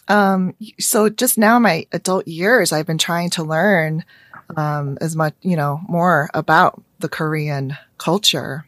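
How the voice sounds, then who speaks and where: American lady podcast host, lowish voice, casual chat, dry close sound. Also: unhurried, no echo. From suburban Chicago, United States.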